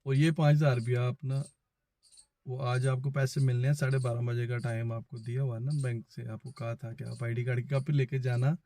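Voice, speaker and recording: A Pakistani man recounts a personal experience in a casual, conversational style. His voice is mid-pitched and relaxed, with a dark, mellow tone. He relays both sides of conversations as he goes, and the sound is dry, with very little room in it. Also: no echo. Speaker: vlogger. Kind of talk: casual first-person storytelling.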